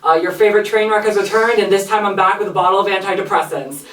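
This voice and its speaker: A man's voice: sits high, fairly even intonation, fast, fairly bright tone. A man in his twenties introducing himself as a contestant in a sassy, flamboyant, profane way. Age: 20s. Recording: echoey room, distant.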